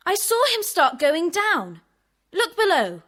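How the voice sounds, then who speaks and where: A woman playing an excitable, earnest young schoolgirl in a children's audio drama. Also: very high-pitched, slow, full character voice.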